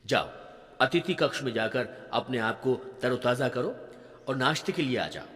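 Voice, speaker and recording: A wealthy, big-hearted merchant acted by a man talks earnestly in a storybook tale. His voice is forceful, moving freely up and down around a medium pitch. It carries a long hall echo. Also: expressive, normal speed, lightly acted. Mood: concerned, kindly. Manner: earnest, magnanimous. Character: kind, dignified rich merchant, loyal friend. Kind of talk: acted audio storybook dialogue.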